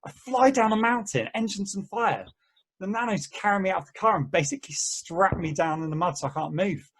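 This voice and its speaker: A man reading the part of an excitable, exasperated guy recounting mishaps in casual conversation at an amateur play table read. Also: high voice, brisk, a little hiss or hum. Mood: agitated.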